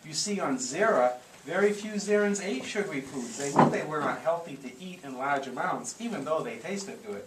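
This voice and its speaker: A man telling a story theatrically and deliberately to a group, with playful asides. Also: a little high, expressive. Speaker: live storyteller. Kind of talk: dramatic spoken storytelling to a live group. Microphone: small consumer video camera in the room. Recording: echoey noisy room, consumer camcorder audio.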